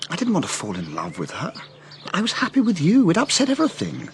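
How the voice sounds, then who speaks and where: An actor playing a troubled, conflicted young gentleman in a British radio drama, well-spoken and earnest, with an English accent. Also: high voice, wildly swooping pitch, quick pace, a little hiss or hum, full character voice. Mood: anguished, confessing.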